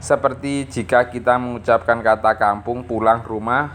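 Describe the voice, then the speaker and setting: A man's voice: medium pitch, flat delivery, moderate pace, fairly bright tone, a little hiss or hum. A man teaching a lesson, reading from a textbook and explaining, often adding little checking tags. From East Java, Indonesia. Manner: didactic, punctuates phrases with checking tags. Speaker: school teacher.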